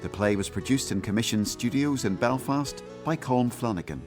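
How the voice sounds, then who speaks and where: A man's voice: medium pitch, lively intonation, moderate pace. A man reading scripted credits as the announcer of a radio drama. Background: music with guitar.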